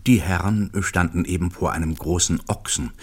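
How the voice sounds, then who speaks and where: A man's voice: deep voice, normal speed. A man narrating a literary radio drama from a script.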